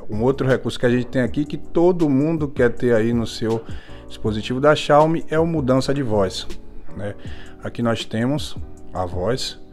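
A Brazilian man talks through a hands-on tech review in a casual, informal way. His voice sits at a medium pitch and he often tags his phrases to check in with viewers. Music plays behind him.

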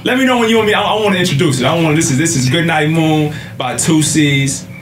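A man's voice: a little high, fast, a little hiss or hum. A young American man hosting a radio interview in casual, slangy, informal talk with repeated phrases, close on a studio mic. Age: young adult. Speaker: radio show host interviewing a guest. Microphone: broadcast mic on a boom arm, close.

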